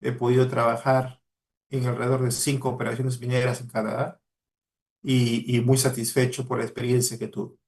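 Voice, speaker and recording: A man's voice: mid-range voice, level tone, moderate pace, creaky, darkish tone. Peruvian man giving an earnest career talk. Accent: Peruvian Spanish. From Peru. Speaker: guest speaker, mining professional. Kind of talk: motivational career talk to students.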